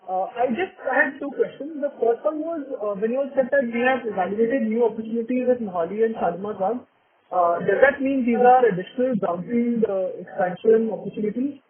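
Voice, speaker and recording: A man's voice: very high voice, brisk. A man with an Indian accent politely asking questions as an analyst on an earnings call. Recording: thin phone line.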